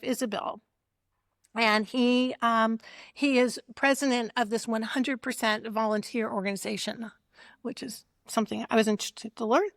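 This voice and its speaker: A woman moderating a community forum, introducing speakers with many pauses and restarts. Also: on the high side, a bit bright, no echo, clean studio sound. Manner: hesitant, many ums and restarts. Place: community meeting room.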